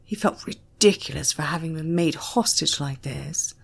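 A woman's voice: low voice, moderate pace, gravelly, husky. A woman with a British accent giving a dramatic narration of a scripted story. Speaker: audiobook narrator.

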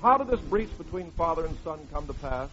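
A man's voice: fairly high pitch, lively intonation, a little fast, a bit bright, soft background music. A man announcing and narrating a 1940s radio show in an emphatic, mock-solemn, theatrical way. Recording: muffled old radio broadcast recording.

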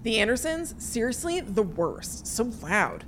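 A woman's voice: fairly high pitch, sing-song, unhurried, slight background noise. A woman playing a dry, coolly matter-of-fact friend in an audio drama. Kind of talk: naturalistic audio drama acting.

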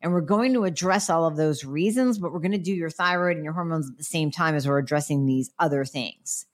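Frank, chatty health practitioner, a middle-aged woman with a lowish voice, nasal from a cold, answering viewer questions. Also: fairly quick. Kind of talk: casual Q&A talk.

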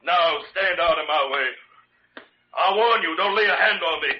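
An actor playing a proud, pompous old colonel with a Southern accent, sputtering with outrage in a 1940s radio drama. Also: male voice, sits high, normal speed, a little hiss or hum, close to an everyday voice. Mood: defiant. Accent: American Southern. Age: older. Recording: muffled old radio recording.

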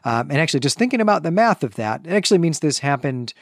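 A man's voice: fairly high pitch, sing-song, dry recording, clean studio sound. Thoughtful man recapping a novel. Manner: thoughtful, measured, with frequent self-corrections. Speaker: podcast co-host. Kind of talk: literary discussion podcast, summarizing chapters.

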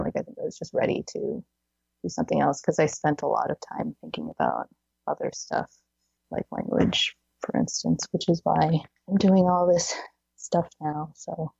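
Woman in her thirties, gravelly fry, lowish voice, casual chatty guest, dull dry recording. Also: warm tone, no room sound. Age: 30s. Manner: chatty, many "like"s, laughs between phrases. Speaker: podcast guest. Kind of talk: casual conversational interview.

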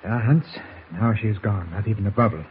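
A scheming German spymaster, played by a man in a vintage radio serial. He speaks in a low voice, imperious and exact, talking down to his underling. The old recording is dull and clearly noisy. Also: brisk, lightly acted. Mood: smug, conspiratorial. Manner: imperious, precise, condescending. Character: domineering villainous male German spy doctor, scheming mastermind. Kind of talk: 1940s radio acting.

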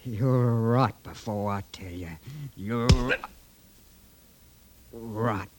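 An actor playing a stubborn, defiant young outlaw's brother with plain rural speech, in old-time radio drama style. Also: male voice, fairly low pitch, fairly even intonation, very slow, heavy background noise. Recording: vintage radio drama recording.

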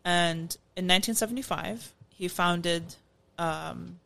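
A woman's voice: lowish voice, takes it slowly, vocal fry, fairly bright tone. Chatty woman explaining, full of fillers. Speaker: podcast co-host. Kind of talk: casual food-review chat.

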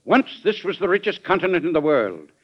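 An actor playing an earnest, indignant American government official in an old-time radio drama, formal and oratorical in delivery. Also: male voice, sits high, very animated, a little fast. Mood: impassioned. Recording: dull vintage radio recording.

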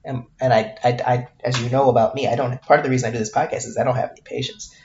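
A man's voice: mid-range voice, steady tone, very fast, darkish tone, slight background noise. A man hosting his own podcast, talking candidly and self-deprecatingly in a reflective solo monologue, full of fillers and restarts. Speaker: podcast host. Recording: roomy, dull lo-fi sound.

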